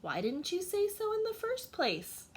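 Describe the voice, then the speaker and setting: Woman voicing a high, obtuse storybook cricket. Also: huge pitch swings, unhurried, heavily acted. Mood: chirpy, oblivious. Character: obtuse, cheerfully unbothered chirping cricket.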